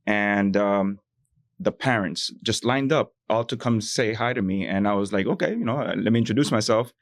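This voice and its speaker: A man's voice: fairly low pitch, normal speed, no room sound, studio quality. A man from Miami, a bank employee, telling stories in a podcast interview, full of "you know" fillers.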